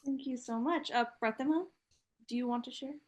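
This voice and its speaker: A woman's voice: high voice, brisk. A woman acting as panel moderator, posing questions to the speakers in a discussion.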